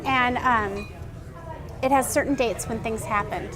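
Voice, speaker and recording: A woman's voice: on the high side, unhurried, a crowd in the background. A middle-aged woman interviewing a guest in a casual chat. She talks earnestly and restarts her sentences often. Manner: earnest, animated, many restarts. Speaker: interviewer and blogger.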